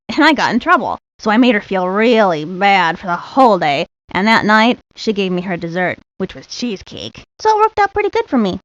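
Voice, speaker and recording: A woman's voice: medium pitch, no room sound, lightly acted. A woman voicing a cheeky, mischievous child in a video game, talking in a confiding, childlike way. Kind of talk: video game character lines. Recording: dull lo-fi old game audio.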